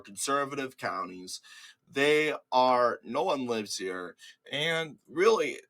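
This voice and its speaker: A man's voice: mid-range voice, sharp tone. A man with a cold, congested and slightly cracking, hosting casual political commentary over a screen recording in a mocking, dismissive way, often restarting his words.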